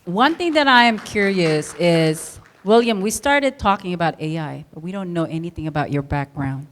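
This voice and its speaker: A woman's voice: fairly low pitch, expressive, moderate pace. A woman host asks a guest about his background, her voice heard from off the microphone. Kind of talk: interview question.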